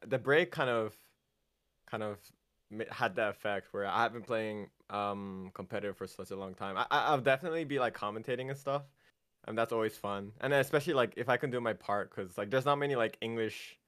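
A young man raised in Japan with an American accent, speaking as a guest in a casual interview, halting, with many fillers and restarts. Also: fairly low pitch, a bit bright, clean tone, dry recording, studio quality.

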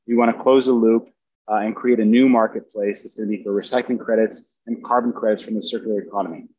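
A man's voice: fairly low pitch, fairly even intonation, darkish tone, breathy edge, no room sound. Man on a dull, dry call line. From Brazil. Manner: halting, many fillers. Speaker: panelist. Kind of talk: remote panel talk. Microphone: video call.

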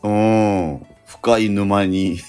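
A man co-hosting a radio show in casual chat, reflective and halting, with frequent murmured interjections. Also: fairly low pitch, steady tone, warm tone, smooth. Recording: music behind the voice. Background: background music.

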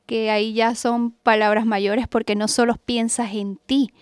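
Expressive Venezuelan woman, smooth voice. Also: fairly high pitch, unhurried, no echo, clean studio sound. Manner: expressive, flowing. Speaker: guest. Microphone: studio microphone.

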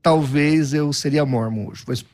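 A middle-aged man speaks as a guest pastor. His voice sits somewhat high and he tells his anecdotes with energy, often restarting his sentences. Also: a little slow, soft background music. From Brazil. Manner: animated, self-deprecating anecdotes with frequent restarts. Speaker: Baptist pastor. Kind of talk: storytelling interview answers.

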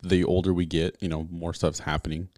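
A man's voice: low-pitched, little pitch movement, a little fast, darkish tone, no echo, studio quality. A young man co-hosting a casual podcast with a friend, easygoing and self-assured. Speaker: podcast co-host. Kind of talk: casual chat.

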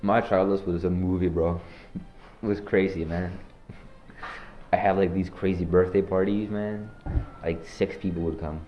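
A teenage boy from Norway hosting a joking school podcast, talking in deadpan, slangy banter. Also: low voice, moderate pace, roomy sound, highs cut off, soft background music. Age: teens. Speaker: podcast host. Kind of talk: joking student podcast interview.

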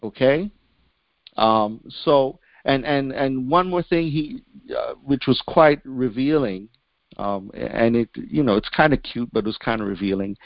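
A man's voice: mid-range voice, a little slow, no room sound. A man speaking as a pastor, teaching a Bible lesson emphatically and often checking in with a short question. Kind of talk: church Bible teaching with read-out passages. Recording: dull lo-fi close dry audio.

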